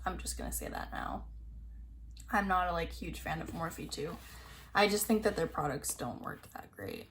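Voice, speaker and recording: A woman's voice: lowish voice, very animated, moderate pace. A young woman chatting casually as a beauty vlogger, her voice a little scratchy from talking a lot, rambling with plenty of "like" and reading product blurbs aloud. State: slightly scratchy, tired voice. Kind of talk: casual chat reviewing new makeup releases.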